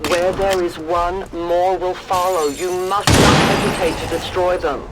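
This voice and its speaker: A video game resistance scout played by a woman, grim and proud, with solemn, deliberate phrasing and a low voice for a female warrior. Loud music and battle sounds run behind the lines. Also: fairly even intonation, lightly acted. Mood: grim resolve. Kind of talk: dramatic video game acting.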